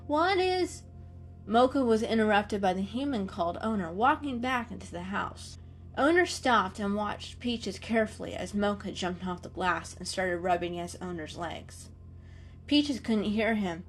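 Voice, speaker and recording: Woman narrating a story over soft music. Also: mid-range voice. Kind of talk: scripted story narration, dramatic reading.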